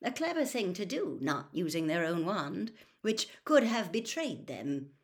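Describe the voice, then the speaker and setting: A woman's voice: on the low side, big pitch swings, unhurried. A woman voicing a reasonable, kindly male wizard who argues firmly as a peacemaker in an audiobook story. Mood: reasoning.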